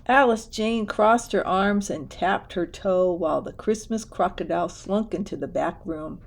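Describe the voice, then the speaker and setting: A woman storyteller reads a children's picture book aloud at a slow, unhurried pace. Her pitch sits in the middle and her delivery is expressive and deliberate. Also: vocal fry.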